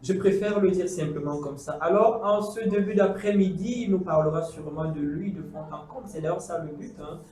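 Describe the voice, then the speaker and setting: High-voiced Cameroonian man presenting, echoey. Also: darkish tone, distant mic. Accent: Cameroonian French. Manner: formal, unhurried introductions with frequent fillers. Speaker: host. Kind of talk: studio talk show presenting. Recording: echoey room, voice off-mic.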